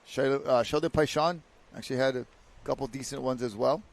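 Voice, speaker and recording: A man from Hawaii, giving relaxed live surf contest commentary and slipping into local pidgin slang. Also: mid-range voice, brisk, creaky. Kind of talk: live sports commentary, casual banter.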